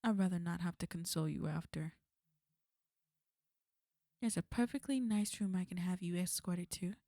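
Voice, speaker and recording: A woman playing a smug, menacing villain in an ASMR roleplay, taunting and threatening in a cool, unhurried way. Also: lowish voice, mellow, dry recording, clean studio sound, lightly acted. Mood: cold, taunting. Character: smug, menacing female villain, fond of an enemy.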